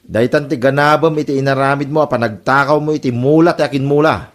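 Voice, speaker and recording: A man's voice: mid-range voice, steady tone, quick pace, lightly acted. A man with an Ilocano accent playing a teasing buddy who chides his friend in a comedy radio drama. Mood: amused chiding.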